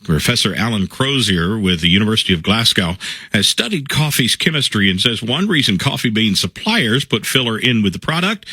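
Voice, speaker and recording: A man reading radio news headlines as the station anchor, in a steady announcer delivery. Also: on the low side, brisk, breathy edge, dry recording.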